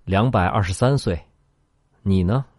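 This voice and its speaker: A man acting in a sci-fi radio drama as a centuries-old future human, speaking in a measured, matter-of-fact way. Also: low voice, a little mellow, no echo, clean studio sound. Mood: conversational. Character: far-future man aged 223, measured, unsurprised questioner.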